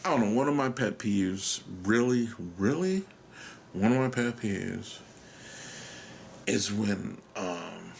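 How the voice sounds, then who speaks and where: A man's voice: fairly low pitch, expressive, slow pace, vocal fry, long pauses, a little hiss or hum. An American man rambling through a solo monologue, musing and questioning himself, sometimes dropping to a whisper and swearing casually. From United States. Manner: musing, self-questioning, trails off. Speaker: podcast host.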